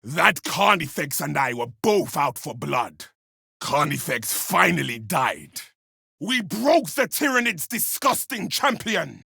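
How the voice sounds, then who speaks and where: Gravelly, roaring space marine warrior. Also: male voice, fairly high pitch, sing-song, slow, no echo, clean studio sound, exaggerated voice. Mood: grim satisfaction. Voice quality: gravelly, rough shout. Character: battle-hungry male space marine warrior, brash and zealous. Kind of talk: video game combat barks.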